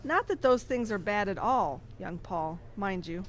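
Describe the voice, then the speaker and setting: A woman playing a kindly, patient nun who teaches through measured storytelling in an audio drama. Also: medium pitch, animated, slight background noise, natural voice. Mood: patient, reflective. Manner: didactic, measured.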